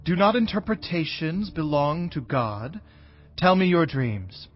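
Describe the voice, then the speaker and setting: An actor playing an earnest young Hebrew prisoner in a dramatized scripture reading, speaking in a solemn, measured way. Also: male voice, fairly high pitch, sing-song, highs cut off, faint music behind. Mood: gentle, earnest.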